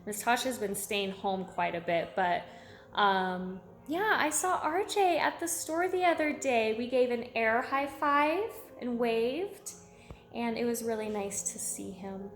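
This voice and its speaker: A woman's voice: a little high, lively intonation, unhurried, echoey room. A woman preschool teacher reading aloud to young children, encouraging them with simple repeated phrases and questions.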